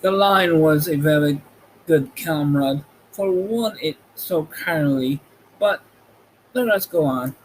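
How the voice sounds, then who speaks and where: A man's voice: a little high, slow, a little hiss or hum, low-quality recording. A man voicing an earnest, helpful scarecrow in a storybook reading. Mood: regretful.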